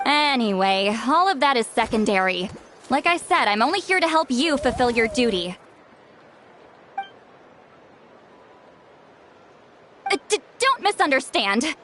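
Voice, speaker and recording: Haughty game shaman, grumpy elder attitude, high lively voice. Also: moderate pace, slight background noise. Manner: haughty, teasing, self-assured. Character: proud grumpy female shaman, sharp-tongued elder. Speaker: game character. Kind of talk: video game voice acting.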